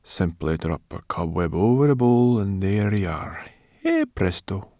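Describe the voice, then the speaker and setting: A man voicing a bossy, sharp, impatient furry female creature in a storybook, needling and exclaiming. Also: sits low, expressive, a little slow, dry recording, clean studio sound. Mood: drowsy, smug.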